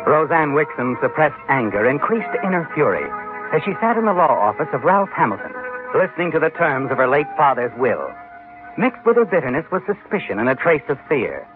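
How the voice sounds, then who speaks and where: Male vintage radio narrator: ominous, higher-pitched and swooping, muffled sound. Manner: ominous, addressing the character as you. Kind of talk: dramatic scripted narration, old-time radio. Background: music.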